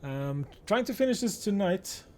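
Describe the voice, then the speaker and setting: A man's voice: high-pitched, expressive, moderate pace, a little mellow. A man chatting casually as a live game streamer, with game music behind the voice. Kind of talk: casual stream chat mixed with reading game dialogue aloud. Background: video game music and game narration.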